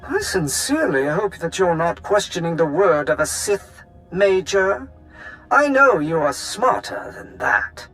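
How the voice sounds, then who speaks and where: High-voiced, formal Imperial colonel. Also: male voice, sing-song, unhurried, fairly bright tone, heavily acted. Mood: stern. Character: brisk, officious male Imperial colonel, dutiful and stern. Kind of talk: video game cutscene acting. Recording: music behind the voice.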